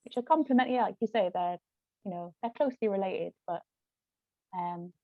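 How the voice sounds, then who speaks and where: A woman's voice: mid-range voice, normal speed. A woman chatting in a rambling way as a guest in a casual discussion. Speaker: guest author and actress. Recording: muffled lo-fi phone-like audio, roomy echo.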